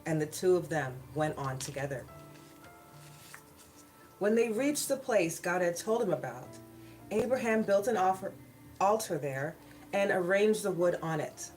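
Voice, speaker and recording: A woman's voice: lowish voice, animated, measured pace, pauses often, rough recording. A woman storyteller hosting a read-aloud of children's Bible stories, with casual asides to her viewers. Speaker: storyteller and channel host. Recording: background music behind the voice. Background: soft worship music.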